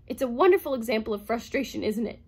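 Lonely bitter woman psychologist, late thirties read by a woman; breathless, tense, husky. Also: medium pitch, moderate pace, lightly acted. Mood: angry outburst. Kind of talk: audiobook character reading.

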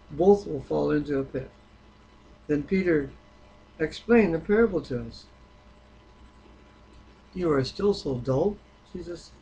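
A man reading the Bible aloud and discussing it informally, with a halting delivery that stumbles over words. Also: on the high side, a little mellow, husky, highs cut off. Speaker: Bible reader.

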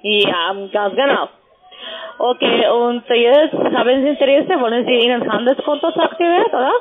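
A woman's voice: fairly high pitch, normal speed, crowd noise. A woman working as a call centre agent, questioning a customer in broken, non-native phrasing over the phone. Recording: tinny narrow phone line.

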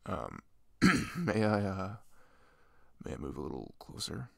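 A man acts out a sweet, shy male best friend in an ASMR roleplay. His voice is lowish with a lot of creak, and he speaks in a halting, flustered, gentle way. The sound is dry and close. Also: wildly swooping pitch, dry recording, heavily acted. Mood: shy, nervous. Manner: hesitant, stammering, soft-spoken. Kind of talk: ASMR roleplay, intimate one-sided dialogue.

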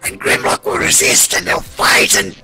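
A man playing an excitable, mouthy robot with a raspy voice, speaking bluntly in an amateur comic dub. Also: normal speed, soft background music. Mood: exasperated, urgent. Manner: indignant, blunt, exclamatory.

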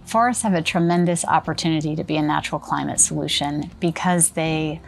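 A woman, a company spokesperson, speaking in a measured, earnest way in an interview. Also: sits low, steady tone, gravelly, soft background music. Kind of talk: corporate interview.

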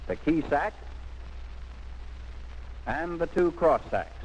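A man's voice: on the high side, lively intonation, takes it slowly, slight background noise, low-quality recording. A man narrating a 1940s military instructional film in an emphatic, instructive scripted manner, on an old film soundtrack.

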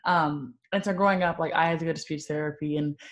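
Low-voiced young woman, fast and stuttering. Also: fairly even intonation. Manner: fast talker who stutters at times. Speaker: youth panelist. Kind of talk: casual panel talk. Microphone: video call.

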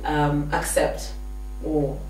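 A young woman hosting a casual talk show in a small studio, playful and quick to laugh. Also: sits low. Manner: playful, laughs often, animated questioning. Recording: echoey studio from far off, faint noise.

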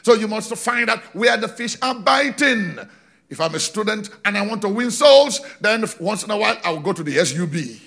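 Animated preacher with a bright, gravelly voice. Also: male voice, sits high. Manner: animated preaching with slow emphatic lines and shouted points. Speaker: pastor. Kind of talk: sermon. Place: large church stage. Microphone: handheld mic.

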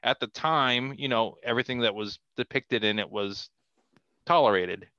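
Bright, clear-voiced middle-aged man. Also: medium pitch, normal speed, dry recording, lo-fi sound. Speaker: podcast guest, comic artist. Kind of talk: casual conversational interview. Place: small cluttered room at home, video call. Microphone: headset on a video call.

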